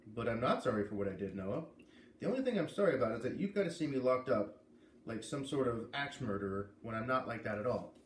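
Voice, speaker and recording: A man's voice: medium pitch, expressive, fast, close to an everyday voice. A man reading the part of a stubborn, good-humored jailed father who casually justifies himself in a storybook. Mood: defiant. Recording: echoey room with clear background noise.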